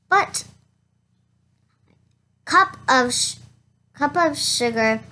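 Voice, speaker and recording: Someone reading a children's storybook aloud in a halting way, stumbling over words and going back over them. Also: high voice, fairly bright tone. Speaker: reader of a children's picture book.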